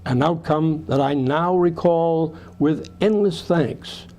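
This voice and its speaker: Male reader of rhyming poetry, slightly higher pitch, slow delivery. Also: a little mellow, slight background noise. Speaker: reader of poems. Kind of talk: reading rhyming verse aloud.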